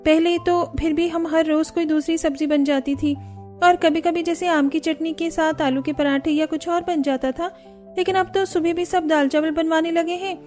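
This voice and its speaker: Woman voicing a high-pitched, grumbling young daughter-in-law. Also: steady tone, normal speed, darkish tone, soft background music. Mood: complaining. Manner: plaintive, complaining. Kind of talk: Hindi cartoon story dialogue.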